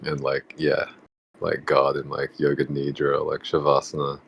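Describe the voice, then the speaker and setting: A man in a casual, reflective group discussion, musing tentatively and haltingly as he works through his thoughts. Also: very deep voice, monotone, gravelly, a bit bright, a little hiss or hum. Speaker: book club discussion participant.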